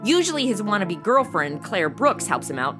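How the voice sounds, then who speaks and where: Actress as a flippant, animated modern young woman. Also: mid-range voice, moderate pace, music in the background, natural voice. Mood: amused, flippant. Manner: flippant, slangy Valley-girl phrasing with "like" and OMG. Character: breezy, scatterbrained modern young woman as temp sleuth. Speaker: lead character and narrator. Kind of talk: comedy audio drama acting.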